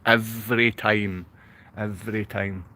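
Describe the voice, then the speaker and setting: A man's voice: lowish voice, steady tone, slow pace, pushed and bright. A man from Glasgow with a Glaswegian Scottish accent, talking unscripted to livestream viewers in a rambling, repetitive way full of rhetorical questions. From Glasgow, Scotland.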